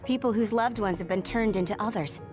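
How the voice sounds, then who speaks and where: A woman's voice: medium pitch, fairly quick, over music, natural voice. An actor playing a composed, matter-of-fact young woman, a comrade in arms in a video game. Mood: measured, explaining. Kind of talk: English video game voice acting.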